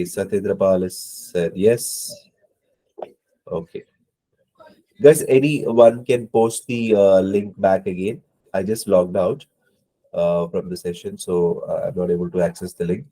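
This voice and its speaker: A man's voice: lowish voice, mellow, rough recording. A man with a cold teaching an online exam-prep class, hesitant, with many fillers and apologies. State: hoarse from a cold. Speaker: IELTS instructor.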